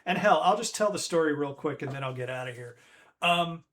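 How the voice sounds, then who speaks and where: A man's voice: fairly high pitch, expressive, brisk, a bit bright. A man, hosting a retro game stream in a rambling, chatty way that keeps correcting itself. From Northern Ireland. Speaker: streamer host.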